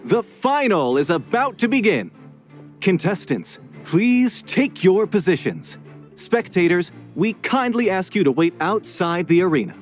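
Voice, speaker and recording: A man's voice: high voice, sing-song, unhurried. A man playing a formal tournament announcer, proclaiming to an arena in a video game. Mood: formal, announcing. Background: light game music.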